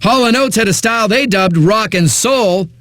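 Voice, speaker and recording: An actor playing a breezy, smug radio DJ in a video game, delivering hyped, sardonic patter between eighties songs. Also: male voice, sits high, moderate pace, a bit bright, dry recording, studio quality. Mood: glib, upbeat.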